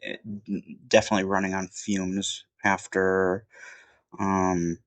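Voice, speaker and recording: Deep-voiced man, sniffly and rambling. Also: little pitch movement, takes it slowly, no echo. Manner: rambling, many fillers and restarts. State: sniffly from a cold. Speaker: film reviewer. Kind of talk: casual off-the-cuff film review. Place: at home.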